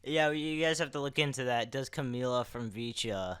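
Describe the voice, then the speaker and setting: A man's voice: medium pitch, moderate pace, fairly bright tone, clear, resonant tone, no echo. A man talking casually as co-host of a podcast, addressing listeners in everyday conversation. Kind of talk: casual chat.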